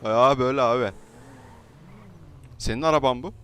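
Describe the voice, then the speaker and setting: A man's voice: mid-range voice, moderate pace, fairly bright tone, soft background music. A young man doing joking, slangy, mock-polite banter in improvised video game roleplay. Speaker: gaming video creator.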